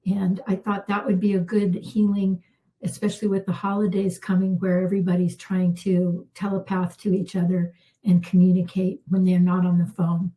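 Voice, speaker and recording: A middle-aged woman, a healer, leading a calm guided spiritual healing with unhurried talk and soft pauses, her voice slightly worn. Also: medium pitch, rough recording. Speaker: intuitive healer hosting a live session.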